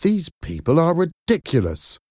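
A man's voice: a little high, wildly swooping pitch, slow, darkish tone, no echo, clean studio sound, pitched above the performer's own voice, full character voice. A man voicing an anxious, easily exasperated mother with an earnest manner in a story reading. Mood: angry.

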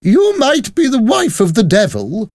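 A man voicing a fiercely defiant woman in a storybook, shrill and unyielding. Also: wildly swooping pitch, measured pace, a little mellow, no echo, clean studio sound, heavily acted.

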